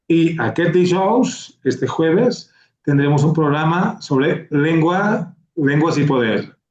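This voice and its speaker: A man hosting an award ceremony, halting and full of fillers and restarts. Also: mid-range voice, darkish tone, roomy sound, lo-fi sound. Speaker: organizer and host of a literary award ceremony. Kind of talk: hesitant ceremonial remarks, switching to Catalan.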